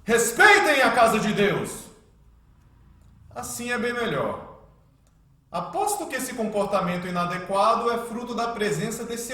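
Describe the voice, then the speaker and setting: High, echoing, fiery priest. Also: male voice, sharp tone, exaggerated voice. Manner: pompous, scornful preaching. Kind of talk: religious radio drama acting. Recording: very echoey church-like room, distant.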